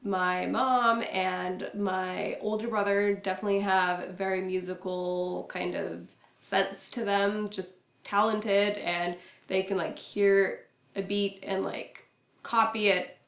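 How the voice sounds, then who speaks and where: Young woman, vlogger, soft-spoken, reserved, filler-heavy chat, roomy echo. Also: mid-range voice, level tone, slow pace. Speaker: YouTuber. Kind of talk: casual chat to camera. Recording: echoey room.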